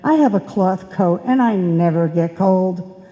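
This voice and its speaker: Casting: female actor as sentimental, self-sacrificing wife for old-time radio comedy, soft dark tone, muffled live hall recording. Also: on the low side, unhurried, natural voice. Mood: reassuring. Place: indoor hall stage, live performance. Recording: dull sound in a reverberant hall.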